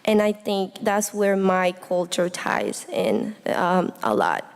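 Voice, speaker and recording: A woman's voice: lowish voice, sing-song, slow pace, creaky. A young woman from Honduras gives a reflective, earnest personal speech as a student speaker, addressing an audience. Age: young adult. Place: indoor hall, speaking to an audience. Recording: echoey hall with long reverb.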